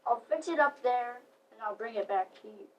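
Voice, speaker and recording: Grumpy, gloating storybook Grinch played by a young girl, high voice, declaiming slowly into a distant mic. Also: highs cut off, slight background noise, close to an everyday voice. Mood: falsely sweet. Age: child, about 11 to 12. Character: scheming grumpy male green Grinch, gleefully mean. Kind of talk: readers theater recital. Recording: distant mic in a live stream.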